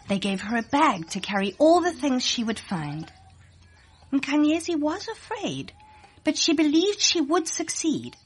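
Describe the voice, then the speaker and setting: A woman narrating a scripted children's story in an expressive read-aloud manner. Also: high voice, unhurried.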